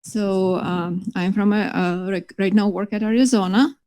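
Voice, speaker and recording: A woman's voice: mid-range voice, steady tone, a little slow, dark tone, dry recording. A middle-aged woman from Lithuania, giving an earnest academic conference talk with many fillers and restarts. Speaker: conference presenter. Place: conference hall.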